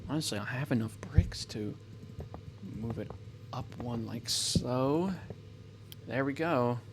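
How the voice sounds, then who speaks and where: A man talks casually over his gameplay at a middling pitch, thinking aloud as he plays. He rambles and keeps stopping to correct himself. Faint noise sits under the voice. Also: slow. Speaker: gameplay commentator. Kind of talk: casual let's-play commentary.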